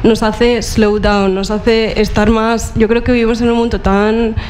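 A young woman from Murcia speaks as a curator on a panel, in a fairly high voice. She talks in a relaxed, hesitant way, with many fillers and restarts. Faint ambience of a large auditorium sits behind her. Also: measured pace, slight background noise. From Murcia, Spain. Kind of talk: panel talk.

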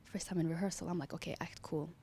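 A young woman from Norway, a dancer whose first language is Norwegian, gushing excitedly in an interview into a handheld mic in an indoor lounge. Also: deep voice, quick pace, slightly rough, no room sound. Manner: excited, gushing, laughing often. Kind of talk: interview answers. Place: indoor lounge at a rehearsal studio. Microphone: handheld mic held by interviewer.

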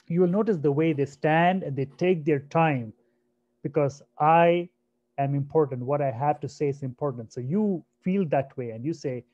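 A man in his thirties from India with a naturally soft voice, presenting a career webinar in a conversational way and often checking in with "right?". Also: on the high side. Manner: conversational, repeats himself, asks "right?" often. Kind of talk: online career webinar talk. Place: home room on a video call. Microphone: computer mic on a video call. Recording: dull lo-fi webinar audio.

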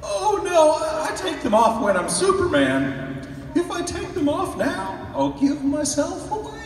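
A man's voice: very high voice, big pitch swings, vocal fry, a little hiss or hum, heavily acted. An actor playing a timid, whining, anxious man who protests hesitantly in a live old-time radio drama. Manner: hesitant, fretful, drawn-out protests. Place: large convention hall stage with audience. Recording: distant, very echoey hall recording.

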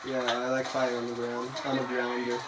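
A man's voice: mid-range voice, monotone, fairly quick, over loud music. A young man hosting a gaming video, chatting casually and rambling, playfully bossy about the plan. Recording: echoey room, mic far, noisy.

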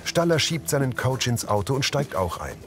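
A man's voice: medium pitch, sing-song, normal speed, music in the background. A man narrating scenes as an audio describer for a scripted television crime comedy.

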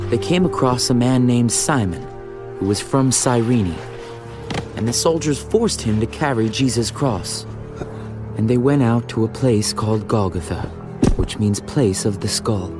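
A man narrating scripture from a script in a measured, dramatic storytelling manner. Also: medium pitch, expressive. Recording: music behind the voice.

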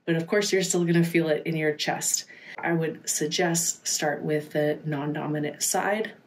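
A woman giving an instructional workout voice-over as a fitness instructor, explaining each step in a casual coaching manner. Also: low voice.

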